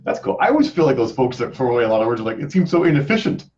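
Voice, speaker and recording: A man's voice: mid-range voice, sing-song, rapid-fire, darkish tone, highs cut off. A man hosting a casual podcast panel, rambling conversationally and repeating words as he thinks aloud. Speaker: podcast host and author.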